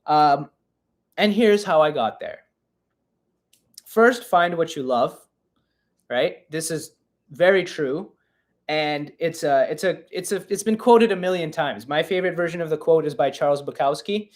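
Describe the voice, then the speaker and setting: A young man in his twenties hosts a live Q&A stream in a loose, chatty way, often poking fun at himself. His voice sits a little high for a man. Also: unhurried, long pauses. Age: 20s. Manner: chatty and self-mocking, reading chat aloud. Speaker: podcast host.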